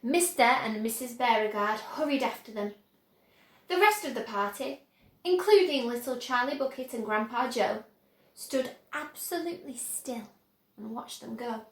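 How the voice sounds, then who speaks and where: Woman reading a kids book aloud, high voice, echoey room. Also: normal speed. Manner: animated, voices the characters. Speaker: storyteller. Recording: roomy echo.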